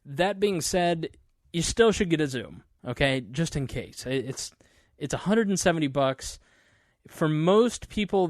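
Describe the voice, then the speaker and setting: Male podcast host, relaxed rambling chat, studio mic, dead-dry sound. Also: on the high side, no echo. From Indiana, US. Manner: chatty, informal, many fillers and restarts. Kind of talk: casual solo talk about podcast gear. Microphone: studio microphone.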